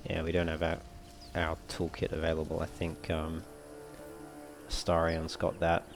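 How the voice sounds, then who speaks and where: A man giving low-key, casual gameplay commentary, musing aloud as he plays. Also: monotone, moderate pace, over music. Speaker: let's play host.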